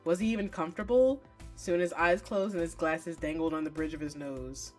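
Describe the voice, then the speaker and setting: A woman's voice: low voice, moderate pace, soft background music, lightly acted. A woman reading aloud as a wary, weary young man narrating a visual novel, guarded and dry. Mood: pensive.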